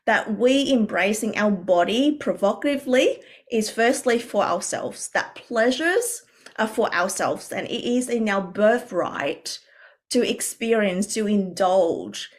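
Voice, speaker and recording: Lady from vietnam being interviewed, earnest and thoughtful, lots of ums and restarts, mid pitch. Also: expressive, unhurried. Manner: earnest, reflective, with many fillers and restarts. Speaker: guest coach. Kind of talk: podcast interview.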